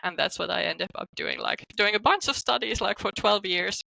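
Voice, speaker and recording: A woman with a Finnish accent chats as an interview guest in a bright, creaky voice. Her phrasing is informal and full of "like". The recording is close and dry. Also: mid-range voice, moderate pace, no echo. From Finland. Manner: informal, peppered with "like" as a hedge. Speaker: guest, psychotherapist and coach. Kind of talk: casual interview talk.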